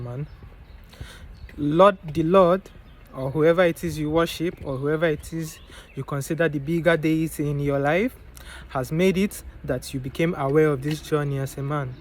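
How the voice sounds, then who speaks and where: A man's voice: a little high, fairly even intonation, normal speed. A young man giving a preachy motivational talk, answering his own rhetorical questions and calling the listener bro and man. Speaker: motivational vlogger. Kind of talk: casual motivational monologue.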